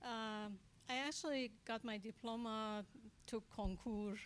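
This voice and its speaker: A woman's voice: a little high, steady tone, unhurried, a little hiss or hum. A woman, born in the US and raised partly in Iran, answering interview questions on stage with frequent laughter and modest hesitation. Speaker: guest speaker, tech professional.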